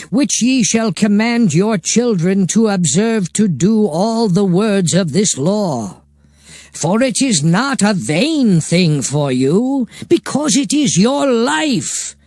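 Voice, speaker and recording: An actor playing an earnest prophet exhorting his people, solemn and deliberate in a dramatized scripture reading. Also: high voice, darkish tone, dry recording, clean studio sound.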